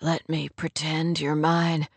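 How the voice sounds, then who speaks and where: A woman voicing a lovesick man in a romance audiobook, anguished and tender, in a raw, hushed, halting murmur. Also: sits low, level tone, no echo, voice pushed down, full character voice. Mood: pleading, tender. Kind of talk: romance audiobook character dialogue.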